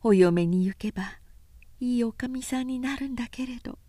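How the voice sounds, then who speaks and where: A woman reader voicing a knowing, world-weary woman of a pleasure house in an audiobook, soft-spoken and feminine. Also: on the high side, moderate pace, dry recording, voice pushed up, natural voice. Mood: wistful.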